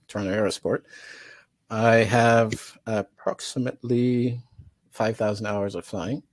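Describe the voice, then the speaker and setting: An older man introducing himself as an instructor in a halting, deliberate, informal way. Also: on the low side, dry recording. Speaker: chief flight instructor, panelist. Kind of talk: informal self-introduction on a panel. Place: room at home, indoors. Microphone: headset on video call.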